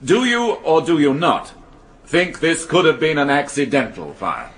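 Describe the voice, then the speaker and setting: An actor playing a formal, probing British prosecuting barrister who questions in a measured way in a radio courtroom drama. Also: male voice, fairly high pitch, very animated, a bit bright, exaggerated voice. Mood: grave, pressing. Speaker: prosecuting counsel.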